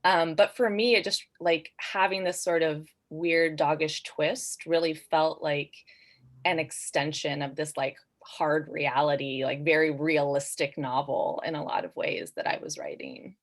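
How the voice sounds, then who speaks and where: A woman with a low voice discusses her work as a panel guest. Her delivery is casual and reflective, hedged as she thinks aloud. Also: measured pace.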